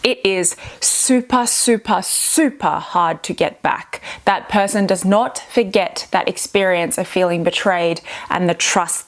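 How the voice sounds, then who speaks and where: A woman hosting a reaction video, giving earnest, emphatic commentary on marriage advice. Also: mid-range voice. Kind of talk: casual commentary on relationship clips.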